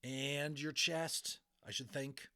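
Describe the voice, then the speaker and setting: A man's voice: medium pitch, steady tone, takes it slowly, sharp tone, clean tone, voice pushed up. A man voicing a schoolboy who talks firmly and bossily like a parent in a storybook. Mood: scolding. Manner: firm, scolding. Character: bossy schoolboy imitating stern parents.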